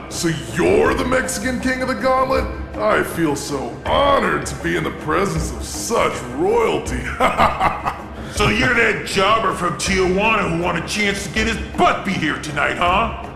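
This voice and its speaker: A bullying male wrestler, with an extremely gravelly, high-set voice that swoops with sneering sarcasm. He is a mocking game rival, heard in an echoey hall over music. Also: big pitch swings, slow, exaggerated voice.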